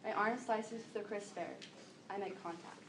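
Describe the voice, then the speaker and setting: A woman's voice: medium pitch, moderate pace. A young teenage girl reciting scripted monologue lines in a readers theater piece. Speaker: student performer. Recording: echoey room, distant mic, background noise.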